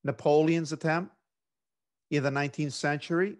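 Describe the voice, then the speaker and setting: A middle-aged man from Greece, a government instructor, giving a measured academic lecture over a video call. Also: a little high, steady tone.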